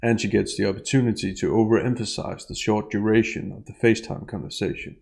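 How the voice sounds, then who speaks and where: Creaky low-voiced man giving analytical commentary. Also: steady tone, darkish tone. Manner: measured, explanatory, precise. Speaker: analyst and narrator of a statement analysis video.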